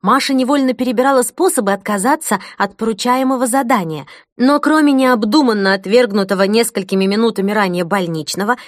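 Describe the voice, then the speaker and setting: Expressive woman narrating an audiobook. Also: a little high, no room sound, studio quality. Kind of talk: scripted dramatic narration.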